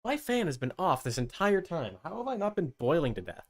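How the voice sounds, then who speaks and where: A man's voice: a little high, very animated, fairly quick. A young man giving casual reaction commentary as a streamer, informal and exclaiming as he thinks aloud.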